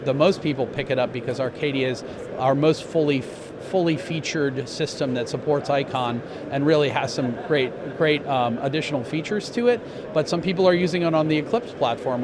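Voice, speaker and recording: A man speaks at a middling pitch over clear background noise. He sounds like an executive in an interview, laying out product details in a measured, explanatory way and pausing mid-phrase. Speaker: company president being interviewed.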